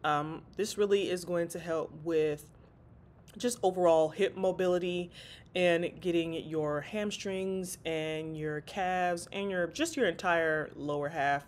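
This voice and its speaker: A woman's voice: fairly low pitch, fairly even intonation, slow. A stuffy, congested woman chatting casually through a workout voice-over, calling her viewers y'all. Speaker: fitness vlogger.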